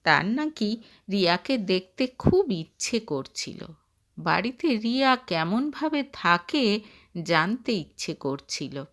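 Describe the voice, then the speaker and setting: Slow, melodic female storyteller. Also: medium pitch, big pitch swings. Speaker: audio story narrator. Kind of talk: scripted short story narration.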